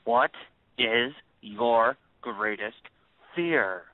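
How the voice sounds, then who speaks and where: A man acting in an audio play as a bitter grieving father turned cold avenger, accusing and sarcastic in a deliberate, commanding way. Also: medium pitch, no echo. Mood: menacing, taunting. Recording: tinny phone-like band.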